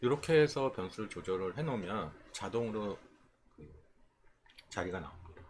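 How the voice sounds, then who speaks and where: Low-voiced man teaching, very lively intonation. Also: normal speed, slight background noise. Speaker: stock trading instructor. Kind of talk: informal screen tutorial, explaining chart settings.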